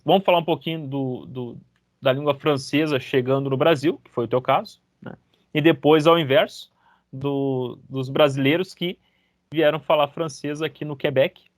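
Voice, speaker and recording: A man's voice: on the high side, fairly even intonation, moderate pace, no room sound, clean studio sound. A man hosting a casual interview chat, enthusiastic and chatty, his voice jumping up when he greets. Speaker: podcast host.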